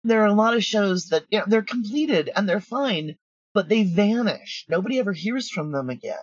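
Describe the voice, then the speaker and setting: A woman's voice: medium pitch, animated, normal speed, no room sound, highs cut off. A middle-aged woman speaking candidly and bluntly as an interview guest, talkative and sure of herself. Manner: blunt, self-assured, talkative. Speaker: guest, audio drama writer and producer.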